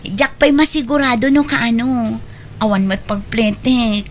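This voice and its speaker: A woman playing a deferential young mother with a soft-spoken, accommodating manner and an Ilocano accent, in an audio drama. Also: on the high side, measured pace, slight background noise, lightly acted. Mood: coy. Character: grateful, deferential young mother and live-in girlfriend.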